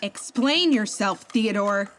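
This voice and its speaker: An actress playing a brisk, no-nonsense female counselor who sounds exasperated with her charges, in a comedic audio drama. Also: mid-range voice, lively intonation, fairly bright tone, soft background music. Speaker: counselor at a villain rehab center.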